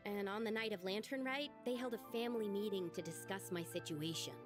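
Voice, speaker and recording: A woman's voice: mid-range voice, a little fast, music in the background, natural voice. An actress playing an earnest, dramatic young lady with big ambitions in a video game, speaking expressively. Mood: glum, resigned.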